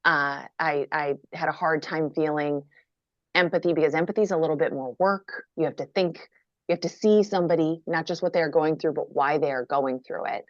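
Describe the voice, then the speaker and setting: A woman's voice: sits low, steady tone, brisk, no room sound, lo-fi sound. A woman in her thirties from Minnesota, answering interview questions on a video call with candid, wry, self-deprecating humor. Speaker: guest author.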